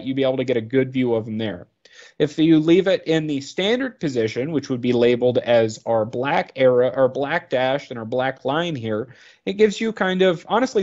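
A man's voice: fairly high pitch, a little mellow, muffled recording. A man narrating an informal product training presentation in a conversational, explanatory way, thinking aloud as he goes.

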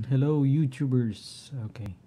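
A middle-aged man with a Filipino accent, talking through his home network setup in a halting way, often clearing his throat. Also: medium pitch, dark tone. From Philippines. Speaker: tech vlogger. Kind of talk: casual screen-share walkthrough.

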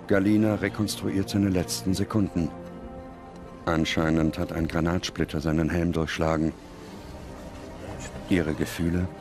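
A man reading scripted documentary narration in a solemn, measured manner with dramatic pauses. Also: low-pitched, steady tone. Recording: loud music under the voice. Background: music.

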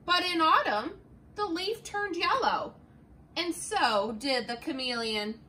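A middle-aged teacher reads a picture book aloud at an unhurried pace, her high, bright voice rising and falling in a lively storytime singsong. She is recorded in a classroom by a camera a few feet away, and a faint hum hangs behind her. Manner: slow, expressive storytime reading. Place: classroom, indoors.

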